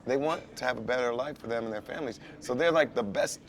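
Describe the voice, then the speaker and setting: A man's voice: mid-range voice, fast talker, noisy background. A man chatting earnestly over lunch in a restaurant, building his points step by step. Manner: earnest, persuasive, speaks in building phrases. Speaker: guest in casual lunch chat. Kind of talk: casual conversation. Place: busy restaurant. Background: restaurant crowd chatter.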